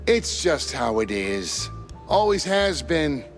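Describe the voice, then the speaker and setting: A jaded little shrew bartender in a cartoon, his highish voice swinging up and down. He speaks in a slow, folksy grumble, sardonic but kind-hearted. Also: very animated, soft background music. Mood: weary, cynical. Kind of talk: animated noir dialogue.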